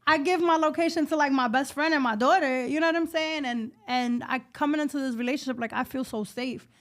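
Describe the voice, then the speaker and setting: Chatty woman in her thirties, higher voice. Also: fairly quick, a bit bright. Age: 30s. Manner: chatty, open, lots of filler phrases. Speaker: podcast guest. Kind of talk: casual chat.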